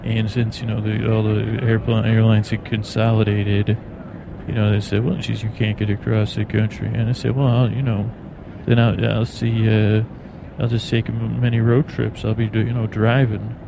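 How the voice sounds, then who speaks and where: An American man hosting a bedtime podcast, rambling in a halting, meandering, soothing way. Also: on the low side. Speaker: podcast host. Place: aboard an airplane in flight. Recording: clear engine and cabin noise. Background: steady plane noise.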